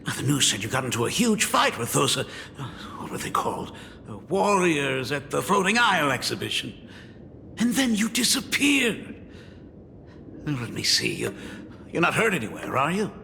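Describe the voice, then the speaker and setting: Doting, gravelly father, very animated. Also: fairly high pitch, moderate pace, a little hiss or hum, full character voice. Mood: anxious, scolding. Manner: theatrical, effusive, fussing. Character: doting, fretful father and school dean, middle-aged. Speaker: school dean character. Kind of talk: video game voice acting. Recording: long reverb under background music.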